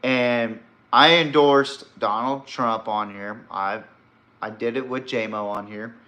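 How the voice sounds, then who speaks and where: A man's voice: mid-range voice, steady tone, slow pace, bright, forceful tone. A man hosting a podcast, giving casual, opinionated commentary in a rambling, blunt and emphatic way. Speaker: sports podcast host.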